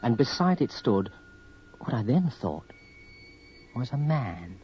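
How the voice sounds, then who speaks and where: An actor playing the narrator of a radio horror drama, telling his eerie story in a measured, ominous way. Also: mid-range voice, very animated. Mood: ominous, haunted. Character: man narrator recalling a sinister, eerie encounter. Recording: muffled, noisy old radio broadcast recording.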